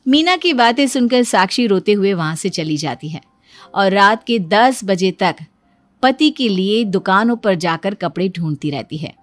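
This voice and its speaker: A woman's voice: mid-range voice, animated, measured pace, dry recording, soft background music. A woman narrating a scripted moral story as its storyteller.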